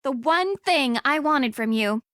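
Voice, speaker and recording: An actress playing a sharp-tongued, indignant young woman in a video game, with a biting, accusatory manner. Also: sits high, expressive, unhurried, dry recording, clean studio sound. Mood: bitter, scornful.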